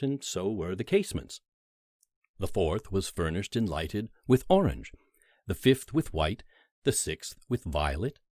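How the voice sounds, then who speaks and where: A man narrating a classic horror story as a dramatic literary audiobook, with theatrical, deliberate phrasing and emphatic pauses. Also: deep voice, a little mellow, dry recording, studio quality.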